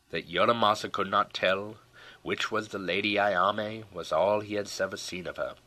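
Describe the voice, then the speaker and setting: A man reading a scripted story as an audiobook narrator, in a measured, formal storytelling manner. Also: sits low, sharp tone, low-quality recording.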